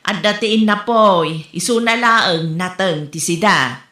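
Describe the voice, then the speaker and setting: A woman's voice: fairly low pitch, takes it slowly, pushed and bright. A woman playing a scolding, exasperated sister with an Ilocano accent in a comedy drama. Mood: nagging. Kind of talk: Ilocano comedy radio-style drama acting. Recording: roomy echo.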